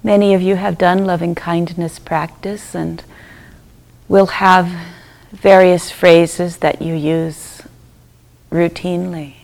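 Slow, creaky-voiced woman guiding meditation. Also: on the low side. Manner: gentle, unhurried, with long pauses between short phrases. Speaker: meditation teacher leading a group. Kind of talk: guided loving-kindness meditation.

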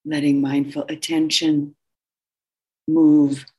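Female meditation teacher: gentle, husky delivery, very even pitch, unhurried phrases with long pauses between them. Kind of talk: guided meditation instruction.

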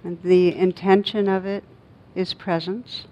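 A woman guiding a meditation as a teacher, speaking gently and unhurriedly in short phrases with long pauses. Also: flat delivery. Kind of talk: guided meditation. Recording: dull muffled recording with faint rumbling noise.

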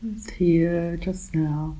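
A woman teaching a guided meditation in a gentle, calm manner, speaking in short phrases with long pauses. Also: lowish voice, rough recording. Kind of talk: guided meditation, softly spoken instruction.

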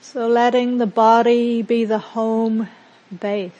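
Slow-speaking woman guiding meditation. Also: fairly high pitch, little pitch movement, lo-fi sound. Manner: gentle, unhurried, with long pauses between phrases. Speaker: meditation teacher. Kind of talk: guided mindfulness meditation.

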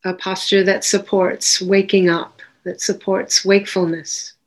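A woman leading a guided meditation as a teacher, giving gentle, unhurried instructions with long pauses between phrases. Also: medium pitch, little pitch movement.

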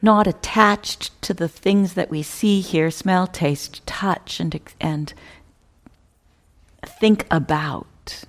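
Slow, creaky-voiced woman guiding meditation. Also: fairly low pitch. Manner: measured, contemplative, with long pauses between short phrases. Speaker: meditation teacher. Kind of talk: guided meditation instruction, spiritual teaching.

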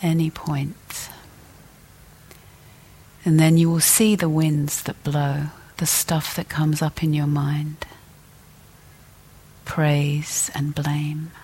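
Woman meditation teacher, really slow and gentle, lots of pauses, medium-low voice that stays pretty level. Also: flat delivery, husky. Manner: gentle deliberate delivery with long pauses. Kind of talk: guided meditation.

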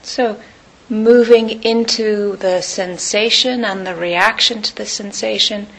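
A woman teaching meditation, guiding listeners in short, unhurried phrases with long pauses between them. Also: medium pitch, steady tone, a bit bright, low-quality recording. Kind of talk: guided meditation.

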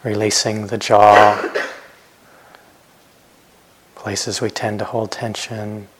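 A man guiding a meditation as a teacher, speaking gently in short, unhurried phrases with long pauses. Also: on the low side, little pitch movement, slight background noise, poor audio. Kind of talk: guided meditation instruction.

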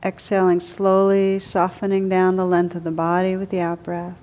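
A woman meditation teacher leading a guided meditation in a gentle, unhurried, soothing way with long pauses. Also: fairly low pitch, monotone, muffled recording.

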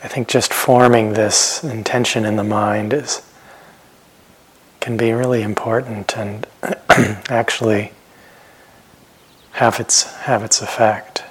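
A man giving guided meditation instructions as a teacher, speaking gently and unhurriedly with pauses and phrases that trail off softly. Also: lowish voice, flat delivery, husky. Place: meditation retreat center.

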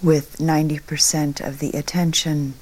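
A woman leading a guided meditation as a teacher, speaking calmly in short, measured phrases with long pauses. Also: sits low.

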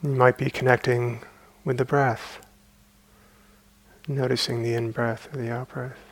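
Man leading guided meditation in an even tone. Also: medium pitch. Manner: calm, unhurried, with long pauses between short phrases. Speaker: meditation teacher.

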